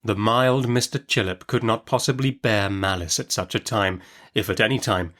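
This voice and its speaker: A man reading a classic novel aloud as an audiobook narrator, in an expressive literary storytelling style. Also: on the low side, moderate pace.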